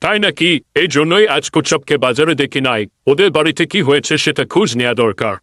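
A man's voice: on the high side, expressive, quick pace, a bit bright, no echo, studio quality. A man voicing a chatty, playful, henpecked husband bird in a children's cartoon. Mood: concerned.

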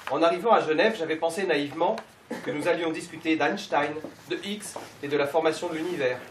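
Man reading aloud in a fairly high voice. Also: background noise. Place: bookshop, indoors. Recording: echoey shop from far off.

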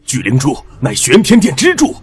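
A woman voicing an alarmed male celestial guard general in a fantasy audiobook, raspy, urgent and commanding. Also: rumbling low, wildly swooping pitch, normal speed, darkish tone, full character voice.

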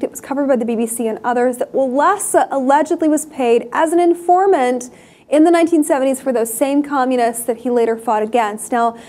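A woman's voice: sits high, darkish tone. A young woman hosting a studio news show, talking loosely with fillers and restarts, on a lapel mic. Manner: chatty, many fillers and restarts. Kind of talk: casual news commentary. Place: TV studio desk.

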